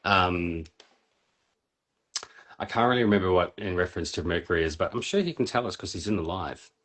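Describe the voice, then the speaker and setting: A man's voice: low voice, animated, a little fast, a bit bright. A man chatting casually and informally in a laid-back way. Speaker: poet and panel participant in a live reading. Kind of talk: casual chat.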